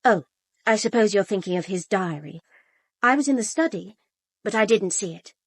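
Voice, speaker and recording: A woman's voice: mid-range voice, animated, moderate pace, slightly rough, dry recording, clean studio sound. An actress playing a brittle, bitter young upper-class British woman in a radio drama, with a clipped, caustic manner. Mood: guarded. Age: young adult.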